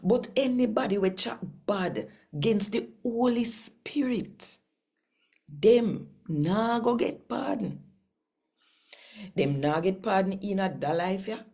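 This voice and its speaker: A woman with a Jamaican accent reading scripture expressively and addressing listeners directly, with deliberate, emphatic storytelling and pauses. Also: medium pitch, creaky, a little mellow. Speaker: host reading daily scripture.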